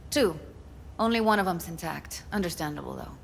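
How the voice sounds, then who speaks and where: A woman's voice: on the low side, expressive, a little fast, vocal fry, slight background noise. An actress playing a guarded, blunt young woman who is a tech expert in a video game. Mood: weary, bitter. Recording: music behind the voice.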